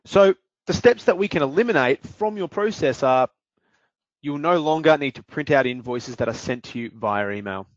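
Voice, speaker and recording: Man, software demo presenter, steady explanations, lo-fi dry recording. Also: fairly high pitch, normal speed, no echo. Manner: steady explanatory delivery with occasional fillers. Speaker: product presenter. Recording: dull dry lo-fi audio.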